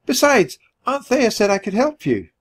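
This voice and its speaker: A man voicing a sweet, eager nine-year-old boy mouse in a storybook, squeaky and earnest. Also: big pitch swings, normal speed, husky, full character voice. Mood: insistent. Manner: earnest, cheerful.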